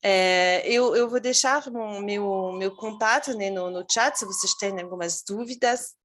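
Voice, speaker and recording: A woman's voice: mid-range voice, measured pace, a bit bright. Hesitant Brazilian woman, casual. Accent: Brazilian Portuguese. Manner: hesitant, repeating words, casual. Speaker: panelist.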